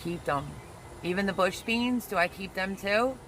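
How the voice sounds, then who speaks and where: A casual gardening host on a livestream, reading viewer comments aloud and joking with them. The voice sits at a middling pitch. A steady hiss and passing traffic run under the talk. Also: moderate pace, rough recording. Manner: chatty and teasing, reads viewer comments aloud.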